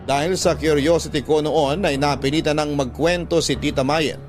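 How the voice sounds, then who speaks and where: A man's voice: a little high, fairly even intonation, normal speed, faint music behind, lightly acted. An actor playing a letter sender, narrating his past earnestly in first person for a radio drama. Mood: reflective.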